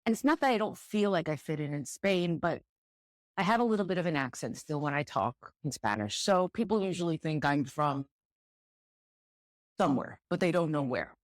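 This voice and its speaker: A woman's voice: deep voice, expressive, moderate pace, dry recording, studio quality. A middle-aged woman speaking candidly as an interview guest, thoughtful and repeating words as she searches for them. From United States. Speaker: guest, director of student housing. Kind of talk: relaxed interview conversation.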